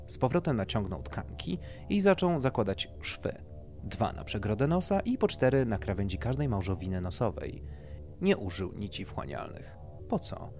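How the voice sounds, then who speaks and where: A man's voice: lowish voice, a little mellow. A man narrating a scripted horror story as an audiobook reader.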